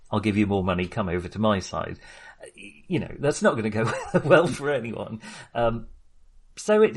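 A man co-hosting a film discussion, talking casually and analytically as he thinks aloud in an informal, chatty way. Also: medium pitch. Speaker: film podcast co-host.

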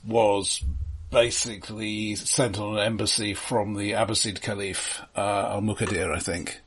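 A man's voice: fairly low pitch, level tone, measured pace, slight background noise. A man co-hosting a casual film discussion, explaining details in an informed way with hesitant phrasing. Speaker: film podcast co-host.

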